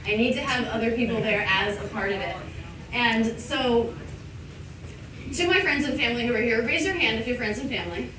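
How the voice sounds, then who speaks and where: A woman who teaches English, giving a live thank-you speech to a party crowd, warm and laughing often. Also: on the high side, brisk. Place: large event space. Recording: roomy, far from the mic, noisy.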